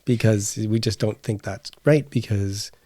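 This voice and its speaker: A man's voice: fairly low pitch, measured pace, dark tone, no echo. A middle-aged man chatting casually as a podcast co-host. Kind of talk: casual conversational chat.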